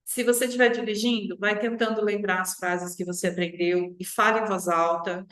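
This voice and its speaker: A woman's voice: medium pitch, steady tone, a little fast, a bit bright. A Brazilian woman giving an online English lesson as a teacher, instructive and often checking in with short tag questions. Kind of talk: online language lesson to viewers. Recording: roomy, echoing sound.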